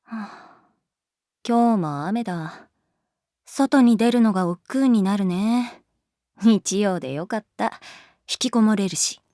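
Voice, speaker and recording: A woman's voice: medium pitch, animated, slow pace, long pauses, mellow, dry recording. An easygoing, wry schoolteacher in a voice drama. Her mid-range voice moves up and down freely as she teases in a casual, indulgent way. The sound is dry and intimate. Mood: languid, easygoing. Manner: casual, teasing, nonchalant. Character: wry, laid-back adult female schoolteacher, quietly indulgent. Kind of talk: Japanese voice drama acting, natural dialogue.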